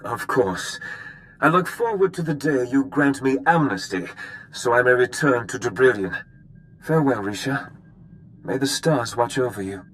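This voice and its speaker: A man's voice: mid-range voice, expressive, moderate pace, a bit bright, close to an everyday voice, rough recording. An actor playing an earnest nobleman in a video game, a courtly suitor who speaks in a formal, solemn, gracious way. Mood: resigned, gracious. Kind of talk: scripted video game dialogue.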